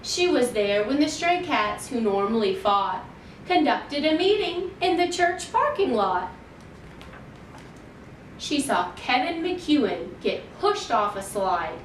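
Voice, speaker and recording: Female storytime reader, slow and deliberate, expressive rhymed read-aloud for kids, recorded in a roomy space with faint noise. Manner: measured, deliberate storytelling for young children. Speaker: children's library storytime reader. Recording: roomy, distant mic, faint noise and rumble.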